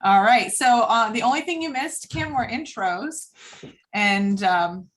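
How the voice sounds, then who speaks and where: A woman's voice: fairly high pitch, animated, a little slow, rough recording. A woman hosting a group video call in a casual, organizing manner, directing people as they chat. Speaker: host of a cast table read.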